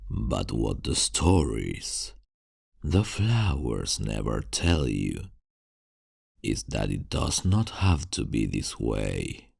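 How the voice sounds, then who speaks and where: A man playing a mysterious, courteous, brooding vampire in an ASMR roleplay, speaking in formal, deliberate phrases with a deep, gravelly voice. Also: big pitch swings, a little mellow, no echo, studio quality, heavily acted. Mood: wistful, ominous. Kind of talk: ASMR roleplay, slow scripted monologue.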